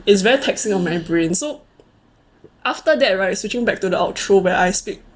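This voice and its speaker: A woman's voice: mid-range voice, expressive, brisk. A woman in her late twenties with a Singaporean accent, co-hosting a casual conversational show. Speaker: co-host of a conversational video series. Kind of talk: casual chat.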